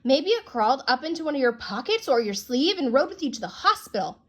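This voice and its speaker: A woman's voice: sits high, very animated, brisk, voice pushed up. A woman voicing a child who writes a grudging, earnest apology letter, read expressively as a storybook. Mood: excited, speculating.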